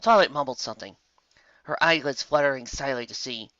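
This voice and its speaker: A man reading a story as a scripted narrator, with dramatic, expressive delivery on a muffled, dry home recording. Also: medium pitch, a little fast, no room sound. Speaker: fan fiction narrator.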